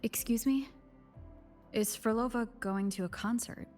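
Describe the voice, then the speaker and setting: A woman voicing a calm, inquisitive young wanderer in a video game. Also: on the high side, a little slow, faint music behind, close to an everyday voice. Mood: inquiring. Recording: long reverberant echo on voice.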